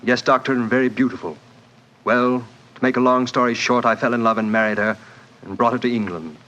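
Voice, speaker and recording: An actor playing a proud, blunt, touchy wealthy man in a 1940s radio drama, speaking in forceful, clipped phrases. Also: mid-range voice, steady tone, fairly quick, lightly acted. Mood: candid, terse. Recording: muffled 1940s radio recording.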